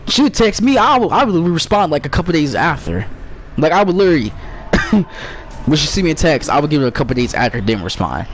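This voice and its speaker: A man's voice: on the high side, big pitch swings, normal speed, long pauses, a little hiss or hum. A young man streaming a racing game, chatting loosely with friends and joking as he tells stories. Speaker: gaming streamer. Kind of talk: casual chat while playing a racing game.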